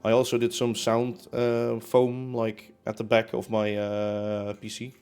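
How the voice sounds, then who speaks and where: A man's voice: medium pitch, completely flat delivery, measured pace, a little mellow, clear, resonant tone, faint music behind. A young man streaming from his apartment as he builds in a game, chatting casually and rambling with lots of fillers and restarts. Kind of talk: casual chat while building. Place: home room in a concrete apartment.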